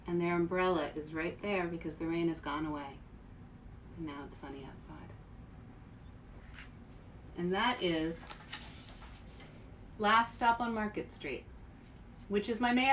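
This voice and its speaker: Expressive woman reading to children, faint noise. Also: fairly low pitch, poor audio. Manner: expressive, addresses young listeners directly. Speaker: author and show host. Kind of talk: children's picture book read-aloud with casual asides.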